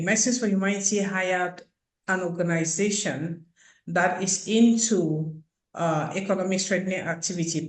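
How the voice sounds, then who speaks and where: A woman's voice: on the low side, reverberant, low-quality recording. A woman, a program coordinator speaking over a video call and explaining earnestly, like telling a story. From Cameroon.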